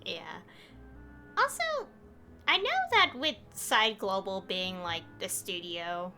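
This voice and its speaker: A woman's voice: mid-range voice, big pitch swings, slow pace, pushed and bright, faint music behind. A woman streaming a game with casual, rambling, informal live commentary.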